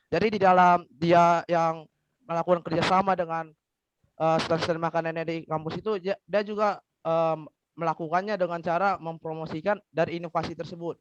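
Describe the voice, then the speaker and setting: A man's voice: on the high side, flat delivery, normal speed, dull audio, a little hiss or hum. A male student explaining his answer in a class debate over a video call, with a slight cough and many fillers. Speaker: student presenter.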